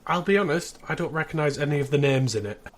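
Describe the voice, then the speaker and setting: A man's voice: fairly high pitch, animated, quick pace, slight background noise. A man co-hosting a podcast, chatting casually and running through summaries with wry, dry asides. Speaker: podcast co-host presenting the episode. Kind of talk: casual podcast chat with synopsis rundown.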